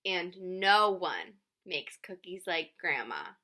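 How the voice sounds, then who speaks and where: Woman reading as a bright, welcoming storybook mother. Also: fairly low pitch, big pitch swings, slow pace, exaggerated voice. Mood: fond. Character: welcoming mother offering homemade cookies.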